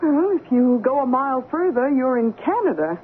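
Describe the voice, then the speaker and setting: Gentle, hesitant mother for radio drama; lilting, animated delivery on a tinny, faintly noisy old broadcast. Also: high voice, moderate pace. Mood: explaining, apologetic. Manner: hesitant, soothing. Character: gentle, anxious mother of a teenage girl.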